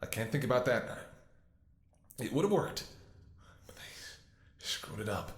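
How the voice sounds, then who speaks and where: The sound is roomy and echoing. A male actor voices a devoted, desperate doctor in an ASMR drama, a man of middle pitch. He speaks to his infected lover in a halting, stammering, emotional way. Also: sing-song. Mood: defeated, grieving. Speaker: voice actor in an audio roleplay. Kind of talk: ASMR dramatic roleplay monologue.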